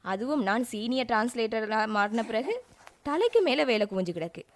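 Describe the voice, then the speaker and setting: A woman playing a brisk, busy young translator. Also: a little high, lively intonation, lightly acted. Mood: hurried. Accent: Sri Lankan Tamil. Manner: brisk, hurried. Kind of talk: radio drama acting.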